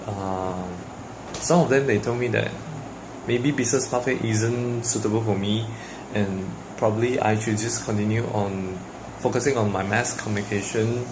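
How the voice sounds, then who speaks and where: A man's voice: fairly low pitch, background noise. A young man from Malaysia with a Malaysian English accent, Mandarin his first language, talking casually to the camera in a personal vlog with lots of fillers and restarts. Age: young adult. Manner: hesitant, many fillers and restarts. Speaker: vlogger.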